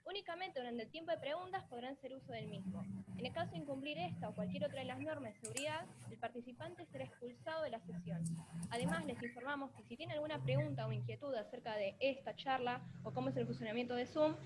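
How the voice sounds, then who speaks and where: A woman's voice: high voice, fast, heavy background noise. A young woman from Argentina with an Argentine accent, a volunteer giving announcements over a video call with some hesitation. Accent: Argentine Spanish. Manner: hesitant, fillers.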